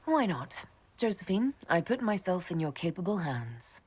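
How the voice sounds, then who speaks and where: An actress playing a wry, warm-hearted woman hero in a video game, with a husky voice and teasing manner. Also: lowish voice, very animated, normal speed. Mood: friendly.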